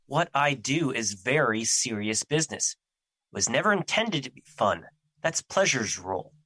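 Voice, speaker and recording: A man plays a stern, earnest personified emotion in an audio drama. The voice is bright and forceful, recorded dry and close. The phrasing is formal and stiff, with irritation never far off. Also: medium pitch, very animated, moderate pace, slightly rough, dry recording, full character voice. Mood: irritated, stern.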